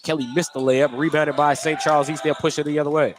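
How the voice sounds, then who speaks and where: Higher-voiced man calling live basketball. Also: little pitch movement, fast talker. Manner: running short-phrase descriptions of the action. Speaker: play-by-play basketball announcer. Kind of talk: live radio sports commentary. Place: indoor basketball gym. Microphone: headset microphone. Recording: gym noise behind headset commentary.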